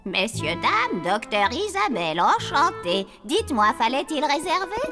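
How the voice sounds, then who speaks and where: A woman's voice: sits high, big pitch swings, a little slow, loud music behind the voice. A woman voicing a prim, courteous elderly lady who speaks formally and politely.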